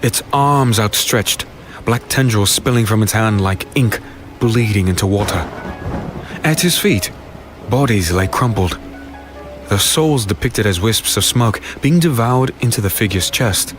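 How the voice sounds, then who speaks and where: A man acting as the brooding first-person narrator of a dark fantasy tale, solemn and descriptive. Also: lowish voice, lively intonation, measured pace, soft background music. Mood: ominous, uneasy. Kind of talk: scripted dramatic narration.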